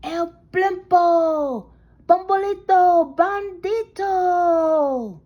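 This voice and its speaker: A woman voicing an excitable crowd of little Mexican chihuahua bandits from a children's storybook, in theatrical drawn-out exclamations. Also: extremely high pitch, dull audio, voice pushed up, exaggerated voice. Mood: hushed dread.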